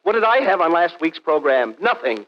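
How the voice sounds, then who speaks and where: High-pitched, touchy radio sound-effects man. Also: big pitch swings, brisk. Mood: indignant, boastful. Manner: indignant, theatrical. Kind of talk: 1940s radio comedy acting. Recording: dull, noisy 1940s radio recording.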